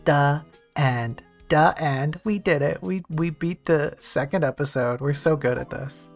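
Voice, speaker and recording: A game streamer talking loosely and jokingly to chat between plays. The voice has a gravelly edge at a middling pitch. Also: a little slow, faint music behind. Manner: chatty, jokey, talks to chat. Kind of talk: casual let's-play chat.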